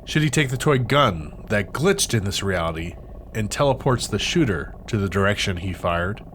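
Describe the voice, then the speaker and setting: A man narrating an interactive audio drama in a suspenseful, theatrical scripted style with dramatic pauses. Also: fairly low pitch, animated, a bit bright, a little hiss or hum. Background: music.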